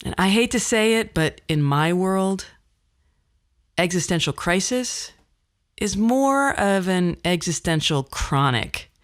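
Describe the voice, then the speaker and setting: A middle-aged woman delivering a scripted podcast monologue as host, phrasing things in a wry, deliberate way. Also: lowish voice, animated.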